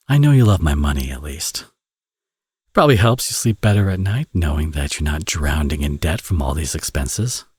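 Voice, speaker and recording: Man acting a deep, husky flirtatious villain. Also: a little mellow, no echo, clean studio sound, full character voice. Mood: wry, teasing. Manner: teasing, sardonic, drawling with drawn-out phrases. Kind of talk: ASMR romance audio roleplay, intimate acting.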